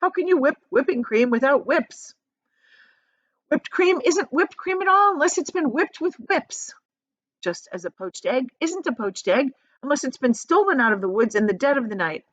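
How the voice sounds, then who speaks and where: A woman's voice: high voice, expressive, moderate pace, a bit bright, voice pushed up. A woman voicing a manic, eccentric male chocolate maker from a storybook, gleeful and commanding. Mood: brisk, dismissive. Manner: exclamatory, commanding, sing-song. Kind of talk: storybook character voice.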